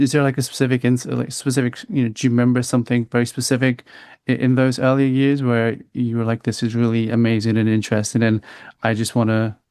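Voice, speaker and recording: A man from the United Kingdom hosting a podcast, asking long, halting questions full of restarts. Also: mid-range voice, gravelly, a little mellow, smooth, dry recording, studio quality. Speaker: podcast host. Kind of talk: interview questions.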